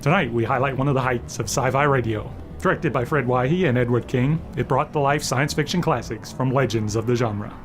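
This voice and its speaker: A man's voice: medium pitch, fairly quick. A man hosting with a scripted, dramatic introduction to a radio drama. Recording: voice over clear music.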